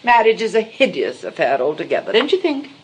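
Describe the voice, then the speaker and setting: Posh english middle-aged diva, melodramatic and coy, voice swoops all over, 1920s comedy play. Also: female voice, medium pitch, sing-song, brisk. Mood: arch, confiding. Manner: affected, coquettish, melodramatic. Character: vain, theatrical middle-aged retired actress and mother. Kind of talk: stage comedy acting, 1920s drawing-room manner.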